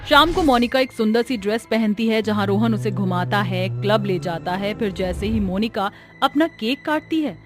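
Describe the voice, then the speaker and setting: A woman's voice: mid-range voice, lively intonation, normal speed, few pauses, over music. A woman narrating a scripted horror story in a dramatic storyteller style.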